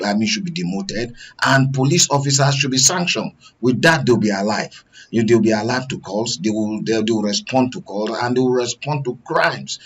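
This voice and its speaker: A man's voice: medium pitch, husky. A man giving plain, prescriptive panel commentary as a studio guest. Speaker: studio guest, media analyst.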